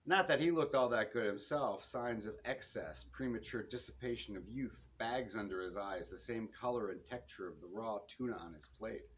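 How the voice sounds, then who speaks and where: A man reading his own detective novel to listeners, with expressive narration and the odd stumble. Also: on the low side, normal speed, few pauses. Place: public reading in a bookstore. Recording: noisy live room recording.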